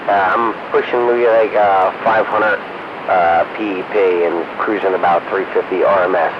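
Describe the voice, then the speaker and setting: A man chatting in a loose, halting, slangy way over a two-way radio. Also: low-pitched, steady tone. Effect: two-way radio transmission. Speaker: radio operator in a group chat. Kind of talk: casual radio chat. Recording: muffled, noisy two-way radio.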